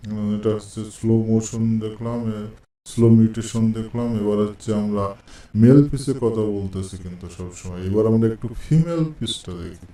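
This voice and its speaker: Male tutorial presenter, lowish voice, heard from far off through heavy echo. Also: fairly quick, warm tone. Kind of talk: casual demonstration of a voice changer. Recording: echoey, distant voice-changer monitoring.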